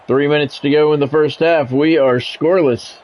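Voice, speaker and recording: A man's voice: on the high side, steady tone, normal speed, darkish tone. A man doing radio-style play-by-play of a football video game on a livestream, with swearing and greetings to viewers.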